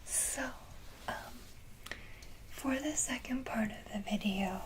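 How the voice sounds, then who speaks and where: Whispering woman, slow and halting. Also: a little high. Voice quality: whispered, breathy. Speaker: ASMR video creator. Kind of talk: softly whispered ASMR, casual talk to viewers. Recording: clearly noisy, hissy background.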